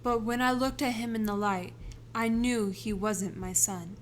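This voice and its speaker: A man voicing a desperate young mother who pleads before a king, in a soft, slow falsetto. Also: steady tone. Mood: pleading.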